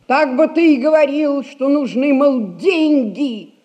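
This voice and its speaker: A drawling old merchant matriarch. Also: female voice, sits high, takes it slowly, mellow. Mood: shrewd, commanding. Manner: drawling, homely, commanding. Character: shrewd, domineering old merchant widow and mother. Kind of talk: classic stage comedy radio acting. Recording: dull vintage radio-play sound.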